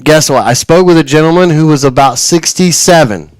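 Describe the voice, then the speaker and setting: A man's voice: a little high, fairly quick, dry recording, poor audio. A man in his forties hosting a radio show, chatting casually, rambling with frequent restarts and chuckles. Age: 40s. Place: radio studio.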